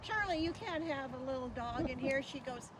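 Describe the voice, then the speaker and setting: A woman's voice: high voice, expressive, normal speed, a loud crowd. A woman telling a casual anecdote at an outdoor gathering. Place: outdoors in an iris garden. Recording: very noisy, distant outdoor recording.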